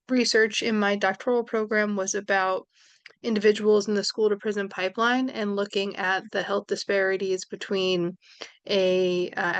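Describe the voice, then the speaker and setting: A woman's voice: mid-range voice, no room sound. New York woman giving a dry lecture. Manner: conversational, with fillers and self-corrections. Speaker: social work lecturer. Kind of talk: recorded lecture.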